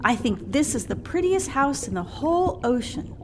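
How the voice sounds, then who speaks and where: A woman plays a dainty little girl fish in a puppet show. Her voice is very high and lively, dreamy and gushing, with clear background noise. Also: moderate pace. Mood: delighted. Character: dainty female little fish who loves pretty things. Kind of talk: children's puppet show character.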